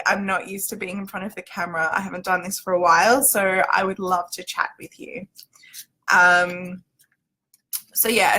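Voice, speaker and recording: A woman's voice: medium pitch, bright, forceful tone, low-quality recording. A woman with an Australian accent giving an informal livestream explainer as a company representative, in a chatty, loosely structured way.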